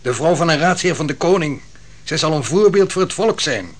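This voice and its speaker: A man's voice: fairly high pitch, animated, a little fast. A man acting a stiff, dutiful official in a Dutch radio play, speaking formally. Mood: stern, formal. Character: stiff, dutiful male official giving testimony.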